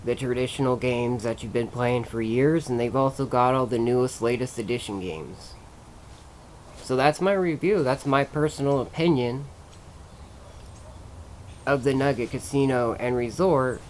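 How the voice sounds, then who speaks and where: A man's voice: medium pitch, a little slow, a little hiss or hum. A man giving a casual, plain-spoken vlog review, recommending things to his viewers. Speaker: vlogger and reviewer.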